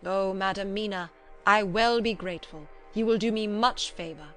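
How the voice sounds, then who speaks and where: Woman performing an effusive old foreign gentleman doctor. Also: medium pitch, normal speed, soft background music, natural voice. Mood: courteous, grateful. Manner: effusive, emphatic, formal broken English. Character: kindly old foreign male doctor, effusive, solemn, courtly.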